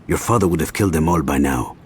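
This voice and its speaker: Stern, very deep-voiced crime elder. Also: male voice, fast, slight background noise. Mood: cold disapproval. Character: stern, menacing, disapproving senior cartel man. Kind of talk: video game voice acting.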